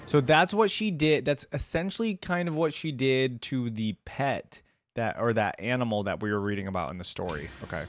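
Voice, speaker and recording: A man's voice: mid-range voice, expressive, normal speed, no room sound, clean studio sound, faint music behind. A man hosting a reaction video in casual commentary, enthusiastic and often exclaiming.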